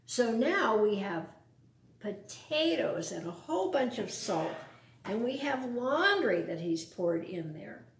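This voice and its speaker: A woman reads a children's story in a roomy, echoing space. Her voice is fairly high and very lively in pitch, and she often turns to the children with simple questions and explanations. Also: slow pace, gravelly, slight background noise. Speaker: storyteller reading a picture book to children. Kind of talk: read-aloud storytime with asides to young listeners.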